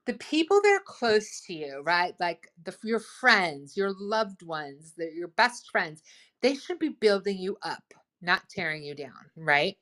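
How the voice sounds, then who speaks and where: A woman hosting a casual advice chat. She talks loudly and with enthusiasm, and fills her speech with "like" and "right?". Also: medium pitch. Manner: loud, boisterous, enthusiastic; lots of "like" and "right?". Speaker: host.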